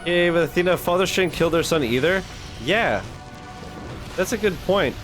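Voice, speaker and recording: A man's voice: high-pitched, lively intonation, moderate pace, music in the background. A man hosting a reaction video in casual, slangy, sweary chat.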